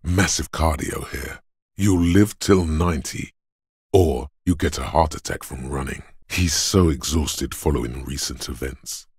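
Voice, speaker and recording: An actor playing the grave, commanding inner voice of a battered detective's body in a video game, gravelly and husky, speaking with solemn, portentous deliberation. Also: male voice, a bass voice, measured pace, a little mellow, dry recording, clean studio sound, full character voice. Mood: gruff, dry. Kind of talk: solemn dramatic game narration.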